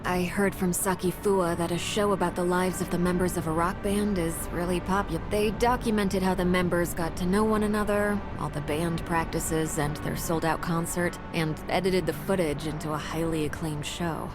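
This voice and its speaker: An actress as a composed, thoughtful woman from a video game, speaking in a slightly low voice. She explains in a measured, caring manner, with music clearly playing behind her. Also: steady tone, lightly acted. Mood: calm, thoughtful.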